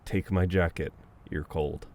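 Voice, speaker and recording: A man's voice: low-pitched, fairly even intonation, measured pace, slight background noise. A man playing a caring, teasing, dominant best friend in an intimate audio roleplay, talking casually and conversationally. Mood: firm, affectionate. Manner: casual, conversational, with soft chuckles and hesitations.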